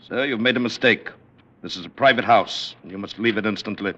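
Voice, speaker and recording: A stern gentleman presiding over a sinister club, with a low voice and formal, imperious delivery. It is old-time radio drama with coolly menacing poise, on a dull vintage recording. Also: brisk. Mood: stern, probing.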